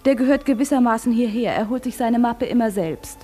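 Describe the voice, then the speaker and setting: An actress in a German radio play, playing a polite, defensive cloakroom attendant with a deferential, hesitant manner. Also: female voice, on the high side, brisk, dark tone, faint music behind, natural voice.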